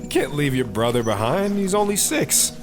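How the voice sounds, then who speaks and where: Patient dad, music behind him. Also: male voice, a little high, moderate pace. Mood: warm, reassuring. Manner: calm, patient, reassuring. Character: gentle, encouraging, steady father of Kelly. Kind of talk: audio drama acting.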